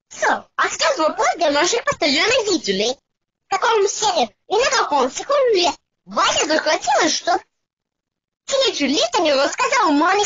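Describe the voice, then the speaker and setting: A teacher character and narrator in a homemade cartoon, giving out homework instructions. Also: very high-pitched. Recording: dull lo-fi recording with noise.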